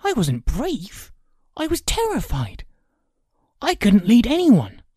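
A woman's voice: a little high, wildly swooping pitch, slow, dark tone, slightly rough, no echo, studio quality, exaggerated voice. A woman voicing a modest, self-doubting boy in a storybook drama, earnest and hesitant. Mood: flustered, doubtful.

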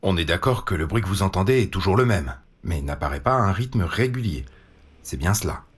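A methodical doctor in an audio drama, with an extremely low, very raspy voice. He is measured and patient, insisting gently as he soothes and questions. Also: expressive. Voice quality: very deep, husky. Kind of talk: naturalistic French audio drama acting.

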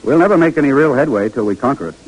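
A man acts a striving young husband in a vintage radio play. His voice is husky and rises and falls widely, earnest and dramatic. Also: medium pitch, lively intonation, very fast, a little mellow, close to an everyday voice, poor audio. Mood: earnest, eager. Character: earnest, struggling young husband and food-preserving entrepreneur. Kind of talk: 1940s radio drama acting.

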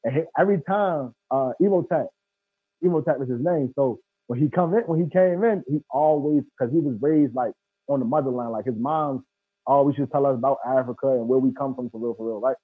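Fast-talking husky man on a tinny line. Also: medium pitch, dry recording. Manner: earnest, emphatic, keeps saying bro. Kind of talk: casual podcast chat. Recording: tinny phone-like sound, dry.

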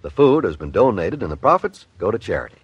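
Animated male 1950s radio announcer. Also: lowish voice, fast talker, husky, no room sound, highs cut off. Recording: old radio broadcast with music behind.

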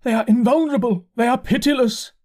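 A man doing a frantic, half-mad curate. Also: darkish tone. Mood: fearful. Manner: complaining, pleading, slow and high-strung. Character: distraught, half-mad male curate, pale and thin. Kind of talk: audiobook character voice.

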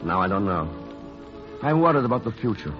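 An actor playing a wistful romantic painter in a classic radio drama, hesitant and bantering with an old love. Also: male voice, lowish voice, sing-song, a little fast. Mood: wistful, hurt. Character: wounded, wry romantic painter visiting his lost love. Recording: muffled old radio broadcast, music underneath.

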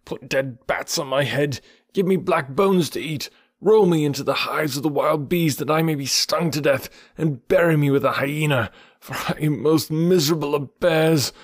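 A fretful old bear as a man reads him, his voice climbing high and loud as he frets and wails. The dry, close sound sits right at the ear. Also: dry recording, natural voice. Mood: wailing. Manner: loud, high and wailing, drawn-out cries. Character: anxious fussing old male bear, wailing and lamenting.

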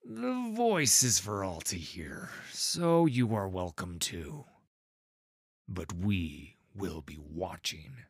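Man playing a slow, husky, low-voiced hulking guard. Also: very animated, dry recording, clean studio sound, full character voice. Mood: grudging warning. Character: hulking armed male guard, suspicious and sneering. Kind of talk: dramatised audiobook narration.

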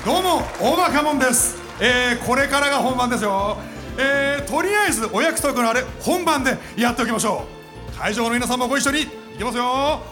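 An actor playing a middle-aged, slightly roguish dandy department manager, bantering at a live radio drama event. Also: male voice, very high-pitched, lively intonation, a little fast. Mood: showy. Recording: live event with music behind.

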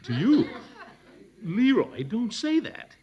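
A man's voice: high voice, wildly swooping pitch, slow, muffled recording, a crowd in the background, heavily acted. An actor playing a pompous, blustering middle-aged bachelor uncle in a 1940s radio comedy, chuckling to himself as he talks. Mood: fatherly. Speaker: comic lead in a radio sitcom.

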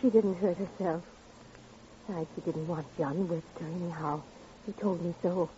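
An actress playing a frail, unhinged spinster with a brittle, evasive, menacing manner in a vintage radio drama. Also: female voice, fairly low pitch, fairly even intonation, moderate pace, dark tone, close to an everyday voice. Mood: defensive, unhinged. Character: frail, unhinged spinster who killed her sister, defensive, eerie. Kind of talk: 1940s-50s radio drama acting. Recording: dull old radio recording, faint noise.

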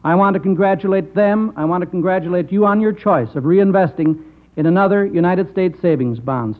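A man's voice: sits high, fairly quick. A man giving a formal presentation speech on a vintage radio broadcast as a government official. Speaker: state savings bond official. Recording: old radio broadcast, narrow tinny sound.

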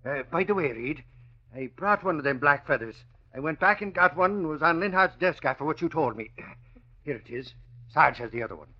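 Blustering high-pitched newspaper reporter. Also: male voice, animated, brisk. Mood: confiding. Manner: blustery, colloquial, folksy exclamations. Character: excitable, blustering, brash male newspaper reporter. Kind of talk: 1940s radio drama acting. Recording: dull old radio recording.

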